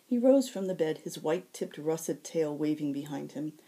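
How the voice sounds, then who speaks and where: Very mellow, expressive woman narrator. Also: low voice, normal speed. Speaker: author reading aloud. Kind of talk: expressive scripted fiction narration.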